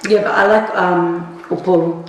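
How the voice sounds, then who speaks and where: A woman's voice: lowish voice, steady tone, moderate pace, recorded from a distance, soft background music. Giggly woman eating on camera. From Australia. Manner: giggly, chatty, talks while eating. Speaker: vlogger. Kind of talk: casual chat while eating. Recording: echoey room, faint background hiss.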